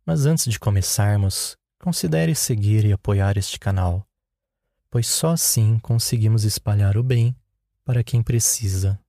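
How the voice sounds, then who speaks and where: A man with a Brazilian Portuguese accent leading a guided meditation in a calm, unhurried, instructional way. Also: lowish voice, vocal fry, no room sound, clean studio sound.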